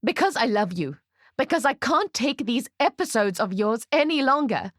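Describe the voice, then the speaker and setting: A woman voicing a weary, exasperated old woman with a gruff edge, in a dramatic story reading. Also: medium pitch, expressive, normal speed, darkish tone, no echo, studio quality, lightly acted. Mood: anguished.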